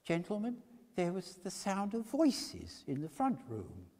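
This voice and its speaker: A man plays a garrulous working-class Victorian woman in the witness box. The high voice is prim, halting and swooping, echoing in a big church. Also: huge pitch swings, a little mellow, heavily acted. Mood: prim, flustered. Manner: flustered, primly self-righteous, halting. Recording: reverberant church hall.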